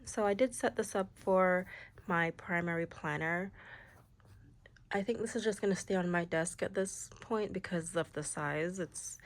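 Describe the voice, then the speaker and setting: A woman's voice: lowish voice, a little slow. Chatty young woman with a cold. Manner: chatty, relaxed, with fillers like "like" and "um". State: stuffy, slightly nasal from a cold. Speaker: planner vlogger. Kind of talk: casual show-and-tell planner walkthrough.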